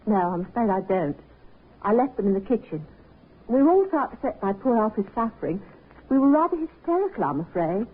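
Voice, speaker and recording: An actress playing an emotional, newly widowed innkeeper's wife in a 1950s radio drama. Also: female voice, mid-range voice, sing-song, a little fast, breathy edge. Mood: nervous, polite. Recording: muffled 1950s radio recording.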